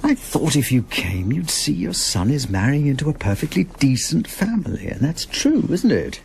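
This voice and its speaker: An actor playing a pragmatic, exasperated middle-aged husband in a radio drama, restrained and matter-of-fact. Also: male voice, a little high, very animated, moderate pace, vocal fry, darkish tone, slight background noise.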